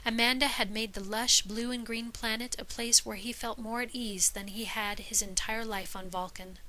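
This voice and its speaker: A woman's voice: mid-range voice, pushed and bright, poor audio. A woman reading fiction aloud as a scripted story narration. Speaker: podfic reader.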